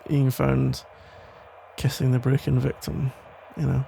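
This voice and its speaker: A man playing a protective, tender police officer ex-boyfriend in an intimate ASMR roleplay, speaking in halting, reassuring phrases with a gravelly voice. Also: mid-range voice, completely flat delivery, mellow, a little hiss or hum, poor audio. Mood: wry, protective. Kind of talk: intimate ASMR roleplay, soft conversational acting.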